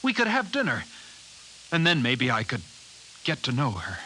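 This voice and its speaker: Nervous, bright-voiced Martian in human form. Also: male voice, mid-range voice, huge pitch swings, rough recording. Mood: nervous, hesitant. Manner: hesitant, halting, oddly formal. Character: timid, anxious Martian inhabiting an ordinary man's body. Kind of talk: 1950s radio drama acting. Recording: hissy 1950s radio recording.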